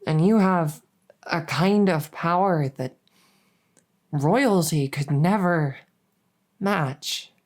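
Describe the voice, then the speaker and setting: A woman's voice: on the low side, darkish tone. A woman playing a world-weary young princess in an intimate audio roleplay, speaking hesitantly and confidingly, sometimes close to a whisper. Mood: exasperated, wistful. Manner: hesitant, halting, confiding, with trailing pauses.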